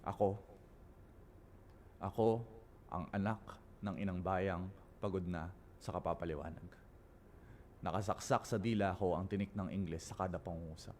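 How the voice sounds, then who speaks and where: Low-voiced Filipino man performing spoken word. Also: darkish tone, slight background noise. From Philippines. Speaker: guest spoken word poet.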